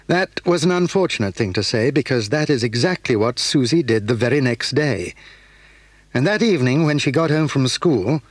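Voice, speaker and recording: A man narrates a children's story from a script. The voice is mid-pitched and rather husky, in a dry, close recording. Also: lively intonation, normal speed, no echo.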